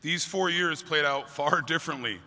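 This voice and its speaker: A middle-aged man, a teacher, giving an earnest graduation speech with a booming voice and light jokes for the crowd. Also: moderate pace, bright, forceful tone. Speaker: teacher giving commencement address. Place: large convention hall. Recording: long hall reverb from a PA.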